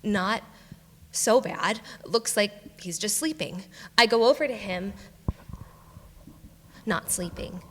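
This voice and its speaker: An actress playing a weary, foul-mouthed small-island sheriff in a staged reading, stammering and halting in exasperation. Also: female voice, medium pitch, slight background noise, lightly acted. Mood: shaken. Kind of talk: live staged play reading. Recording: echoey theatre hall, live stage.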